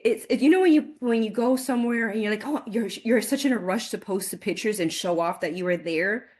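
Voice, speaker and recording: A woman in her forties, a guest in a casual interview, chatting with animated energy, often laughing and repeating words as she gets excited. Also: medium pitch. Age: 40s.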